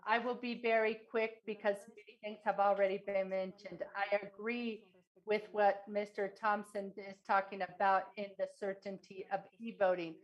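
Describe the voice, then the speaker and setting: A woman interpreting a forum speech, delivering it in measured, halting short phrases. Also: mid-range voice, flat delivery, muffled recording.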